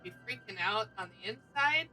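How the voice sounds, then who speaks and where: A woman's voice: medium pitch, expressive, normal speed, poor audio. A woman playing a tabletop roleplaying game, casually describing what her character does. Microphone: online group call. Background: music.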